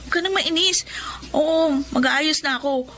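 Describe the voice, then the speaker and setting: An actress playing a lazy, dismissive young daughter in a radio drama, offhand and reluctant. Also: female voice, high-pitched, normal speed, sharp tone.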